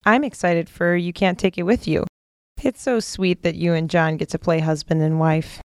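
An actress playing a gentle, shy, sweet young American sister, earnest and casual, in a naturalistic modern audio drama. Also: female voice, on the low side, fairly even intonation, moderate pace, smooth, no room sound, clean studio sound, natural voice.

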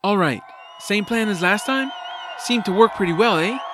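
A man's voice: sits high, expressive, moderate pace. An actor playing an earnest young male spellcaster in an audio drama, urgently rallying companions. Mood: uncertain. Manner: urgent, encouraging. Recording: loud music and crowd behind.

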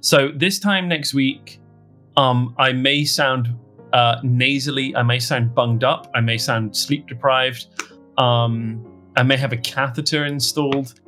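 A man's voice: mid-range voice, fairly even intonation, slow pace, sharp tone, soft background music. A man hosting a podcast in a casual comedic chat, rambling and joking at his own expense. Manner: jokey, rambling, self-mocking. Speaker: podcast host.

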